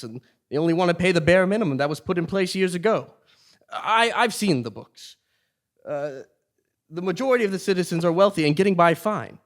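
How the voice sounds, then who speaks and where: A man's voice: sits high, close to an everyday voice. An actor playing a well-meaning, exasperated new king in a comic stage play, earnest and theatrical. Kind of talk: live absurdist comedy stage acting.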